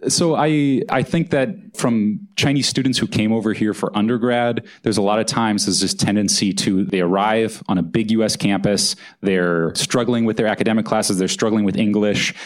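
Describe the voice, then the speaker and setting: Male author guest, low voice, measured and thoughtful, live stage interview. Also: steady tone. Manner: thoughtful, measured, hedging with "kind of". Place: event hall with live audience.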